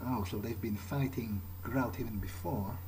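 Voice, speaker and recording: A man playing a cocky vampire in a game, thinking out loud in a halting, sardonic way. The pitch is a medium male one, and there is clear noise and game ambience behind the voice. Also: lightly acted, rough recording. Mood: musing. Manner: musing, halting, muttered asides. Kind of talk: in-character video game playthrough monologue.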